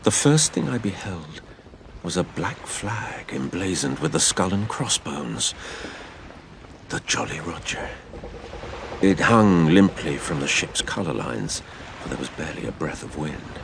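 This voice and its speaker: A man narrating a dramatised adventure story in a theatrical, measured storytelling manner. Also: low voice, very animated, gravelly, noisy background.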